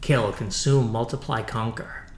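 A man's voice: medium pitch, fairly even intonation, measured pace, roomy sound, voice pushed down, lightly acted. A man voicing a ruthless goddess of cancer in a storybook reading, chanting imperious commands. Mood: cruel, commanding. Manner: incantatory, imperious one-word commands.

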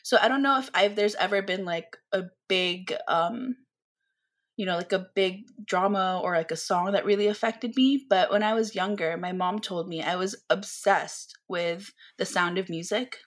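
A woman from the Philippines chats as a podcast guest, her voice sitting at an ordinary middle pitch. She talks in a loose, animated way and strings her thoughts together with plenty of "like" and "um". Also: moderate pace. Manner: chatty and animated, with many fillers and laughs. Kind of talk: casual conversational interview.

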